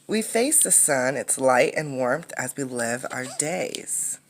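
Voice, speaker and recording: A woman reading a picture book aloud as a teacher, in an instructive way, pausing to explain and ask questions. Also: a bass voice, expressive, slight background noise.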